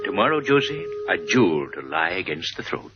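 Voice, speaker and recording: An actor playing a wealthy, doting colonel in a 1950s radio drama, speaking in a gallant, courtly manner. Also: male voice, low-pitched, sing-song, a little fast. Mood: courtly, adoring. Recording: dull old radio recording, loud music.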